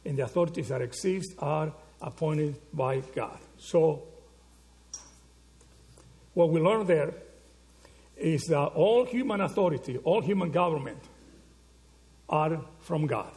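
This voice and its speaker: A man's voice: fairly high pitch, expressive, measured pace, pauses often, a little mellow. A man from Argentina, preaching a sermon, asking rhetorical questions and checking in with "okay?" and "right?". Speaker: pastor.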